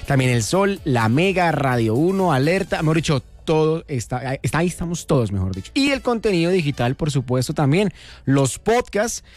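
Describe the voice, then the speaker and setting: A man's voice: on the high side, big pitch swings, darkish tone, faint music behind. A man co-hosting a radio show, reading station plugs in an upbeat, easy way. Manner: upbeat, quick listing, easy laughter. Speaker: radio co-host. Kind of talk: radio promo and banter.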